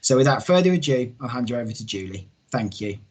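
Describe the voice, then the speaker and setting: A man's voice: mid-range voice, sing-song, fast talker, a little mellow, slightly rough. A man hosting a virtual holiday show, reading a prepared welcome and introduction. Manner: reads a prepared script. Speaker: travel company host.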